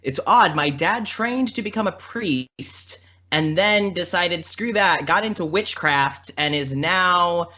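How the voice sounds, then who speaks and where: A man's voice: high-pitched, expressive, takes it slowly, pushed and bright. A man talking casually as a podcast guest, in an informal, chatty, rambling way. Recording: slightly dull, lo-fi audio.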